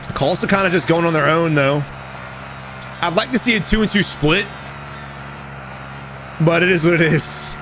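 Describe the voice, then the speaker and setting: A man casually commenting over game footage, animated and profane, talking straight to his viewers, his voice going a little hoarse. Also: fairly high pitch, normal speed, pauses often, a little hiss or hum, rough recording. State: losing his voice. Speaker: gaming commentator.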